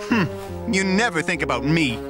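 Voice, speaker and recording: An actor voicing a proper, fussy father who likes things normal, in an animated cartoon. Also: a little high, moderate pace. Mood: reproachful. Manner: prim, mildly scolding. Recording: music underneath.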